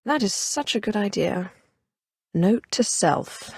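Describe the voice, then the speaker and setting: Haughty young Sith sorceress. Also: female voice, mid-range voice, lively intonation, slow pace, gravelly, husky, no room sound, studio quality, full character voice. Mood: amused, menacing. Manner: cool, imperious, dryly mocking delivery. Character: haughty, sardonic young female Sith sorcerer, former slave. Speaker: video game player character. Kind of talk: scripted video game dialogue.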